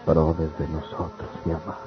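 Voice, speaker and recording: Low, dejected Mexican son. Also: male voice, level tone, moderate pace, noisy background. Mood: dejected, subdued. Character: dejected, dutiful grown son of poor village family. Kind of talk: old Mexican radio soap acting. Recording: muffled old radio recording, faint music.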